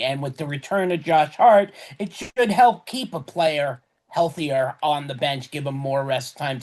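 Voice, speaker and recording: A man chatting casually about basketball on a podcast. He rambles, stops to correct himself, and his voice has a slightly hoarse edge. His pitch sits in a plain middle range. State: voice going hoarse. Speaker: podcast co-host. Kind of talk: casual sports talk.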